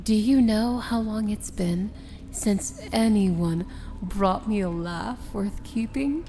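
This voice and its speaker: Woman playing a slow, imperious evil princess. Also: mid-range voice, dark tone, soft background music. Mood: amused, intrigued. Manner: slow, theatrical, grandly sardonic. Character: imperious, amused female princess of evil, theatrical fantasy villain. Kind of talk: ASMR fantasy roleplay.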